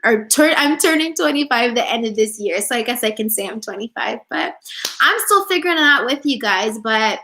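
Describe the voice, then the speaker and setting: Bright-voiced chatty young woman. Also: fairly high pitch, animated, fairly quick, a little hiss or hum. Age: 20s. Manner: chatty and upbeat, many "like"s, reads out viewer comments. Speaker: spiritual coach and vlogger. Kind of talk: casual livestream chat answering viewer questions.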